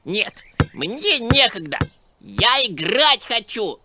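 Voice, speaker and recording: A woman's voice: a little high, sing-song, slow, background noise. A woman voicing a brash, careless young boy in a children's cartoon. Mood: brash, impatient. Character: brash careless young boy who only wants to play. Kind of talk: animated children's cartoon acting.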